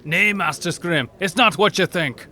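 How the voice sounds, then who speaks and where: An actor playing an indignant, stern man of a sailing ship, speaking forcefully in archaic nautical language in an audio drama. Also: high-pitched, normal speed. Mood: pleading.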